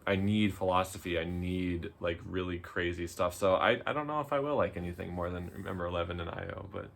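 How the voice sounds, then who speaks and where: A man's voice: sits low, brisk, creaky, a bit bright. A man chatting casually in a laid-back, hesitant and loosely phrased way. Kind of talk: casual chat.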